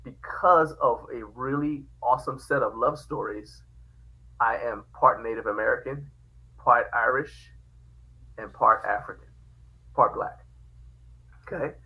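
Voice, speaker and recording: A man from Liberia, a podcast guest telling his family history in a lively, casual way, often laughing. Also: mid-range voice, a little slow, pauses often, muffled recording. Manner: animated, laughs often, quotes dialogue. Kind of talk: casual storytelling.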